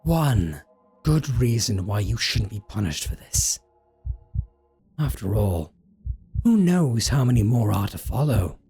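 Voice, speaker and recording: A man's voice: fairly low pitch, sing-song, takes it slowly, long pauses, dark tone, slightly rough, heavily acted. A man playing a possessive, menacing, seductive vampire in a roleplay audio drama, speaking with theatrical, mock-tender threat. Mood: cold menace.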